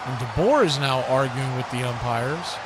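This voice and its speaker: A man's voice: medium pitch, moderate pace, heavy background noise. A man giving live play-by-play commentary on a football game.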